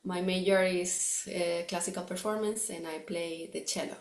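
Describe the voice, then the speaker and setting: A woman from Venezuela, a graduate music student, softly introducing herself on a video call. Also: on the low side, fairly even intonation, moderate pace, reverberant. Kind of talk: self-introduction.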